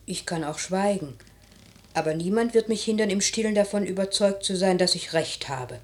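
Actress as an outspoken, insistent maidservant, medium pitch. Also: female voice, moderate pace, natural voice. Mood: stubborn. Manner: insistent, theatrical. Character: outspoken, stubborn maidservant to a noble lady. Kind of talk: classical German radio drama acting.

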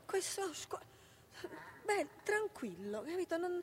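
A woman's voice: very high-pitched, very animated, slow, a little hiss or hum, exaggerated voice. An actress playing a proud, protective mother and teacher, correcting and interrupting in a naturalistic radio drama. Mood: anxious, correcting. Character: proud, sharp-tongued mother and schoolteacher, protective, correcting her son.

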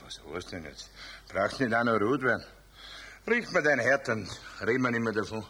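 A man's voice: mid-range voice, animated, brisk, pushed and bright, slightly rough, full character voice. A man playing a friendly, frank middle-aged electrician in a radio play, with a Bavarian accent and a chatty, direct manner. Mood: frank, piqued. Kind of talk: 1970s children's radio play acting.

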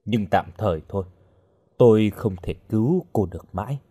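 A man voicing a cold, taciturn henchman with a hidden kindness, speaking in a gruff, curt way. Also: lowish voice, expressive, normal speed, mellow. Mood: resigned, stern.

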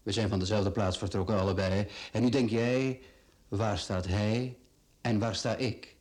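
A man's voice: fairly low pitch, steady tone, measured pace, darkish tone, close to an everyday voice. A man acting a ruthless, condescending self-made business tycoon in a radio play, with a Flemish accent and a mocking, commanding way of talking. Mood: persuasive, patronising.

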